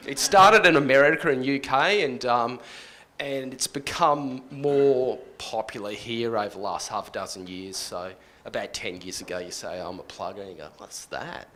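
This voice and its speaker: A man explaining his job informally on a panel, halting and often rephrasing as he goes. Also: medium pitch, fairly bright tone, slight background noise. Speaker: radio plugger on a workshop panel.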